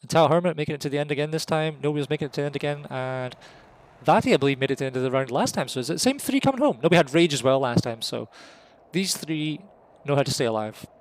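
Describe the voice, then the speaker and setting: A Scottish man giving live, casual, excitable commentary on a competitive flight game match, voice jumping up at the big moments. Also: on the high side, quick pace. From Scotland. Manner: excitable, rises sharply at kills; casual and jokey.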